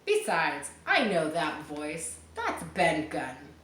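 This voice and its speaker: A woman's voice: sits low, wildly swooping pitch, takes it slowly, a bit bright, full character voice. A woman voicing a bold, shrewd male pirate leader in a storybook reading, with an emphatic, declaring delivery. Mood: shrewd, steady. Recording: echoey room with faint background noise.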